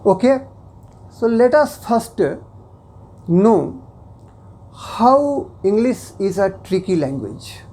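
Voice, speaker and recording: A native Hindi speaker teaching English in a slow, deliberate way, explaining phrase by phrase and at times switching into Hindi. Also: medium pitch, sing-song, warm tone. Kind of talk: explanatory lesson.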